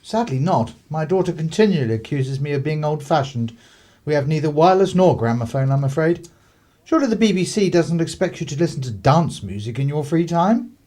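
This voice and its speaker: A man's voice: fairly high pitch, animated, a little fast, warm tone. An actor playing a courteous, old-fashioned 1930s English clergyman, a hospitable country host in a radio play. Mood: genial.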